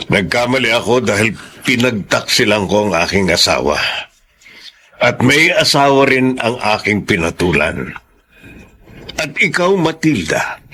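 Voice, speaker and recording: Remorseful Filipino father, middle-aged, radio drama, mid-pitched, earnest, measured pleading. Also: sharp tone, exaggerated voice. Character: remorseful older father confessing an old affair to his daughter.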